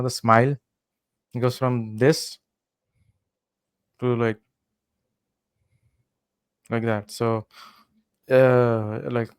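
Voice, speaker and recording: A young man from India, a podcast guest, telling travel stories in relaxed casual chat with many fillers. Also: mid-range voice, clear, resonant tone, no echo, clean studio sound.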